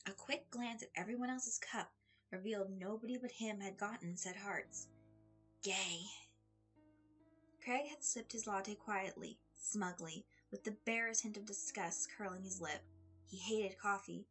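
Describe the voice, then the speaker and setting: A woman reading a story aloud in an expressive audiobook style, with a slightly husky voice. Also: mid-range voice, measured pace. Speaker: fan fiction reader. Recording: music under the voice. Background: background music.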